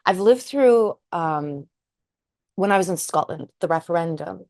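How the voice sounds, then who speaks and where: Woman, very low voice, dry close sound, therapist co-host, thoughtful, earnest. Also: expressive, a little slow, gravelly, a little mellow, no room sound. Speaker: trauma psychotherapist and podcast co-host. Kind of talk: casual chat.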